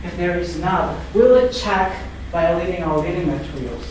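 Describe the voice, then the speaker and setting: A man with a Korean accent teaching an English class, halting with fillers and restarts. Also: sits high, animated, a little mellow. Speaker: student teacher. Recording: echoey classroom from far off, noisy.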